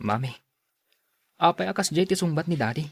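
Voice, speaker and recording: Young Ilocano student son in radio serial: soft, expressive, affectionate, close dry sound. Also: male voice, on the high side, gravelly, slightly rough, no room sound, exaggerated voice. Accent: Filipino Ilocano. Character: sulky but affectionate student son, devoted to mother. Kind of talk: radio serial drama acting.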